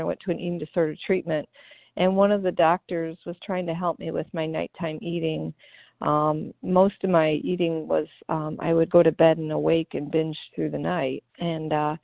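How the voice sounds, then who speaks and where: Low gravelly woman on a phone line. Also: dry recording. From Ohio, US. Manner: earnest, grateful, halting with many fillers. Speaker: meeting member sharing. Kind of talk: personal recovery share. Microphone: phone call.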